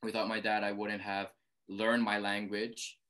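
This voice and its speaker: Earnest young man, low voice. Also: little pitch movement, a little slow, a bit bright. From Hawaii. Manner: earnest, explanatory, frequent fillers and restarts. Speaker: interview guest. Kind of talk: reflective conversation.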